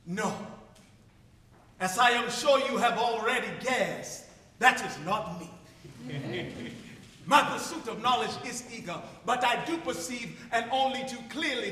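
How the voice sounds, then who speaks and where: An actor playing an ageing, idealistic South African schoolteacher in a theatrical stage monologue, declaiming earnestly and philosophically with emphatic pauses. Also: male voice, sits high, sharp tone, exaggerated voice. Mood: wry, reflective. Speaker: drama student performing a monologue. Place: large ceremony hall stage. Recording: echoey hall, distant stage sound.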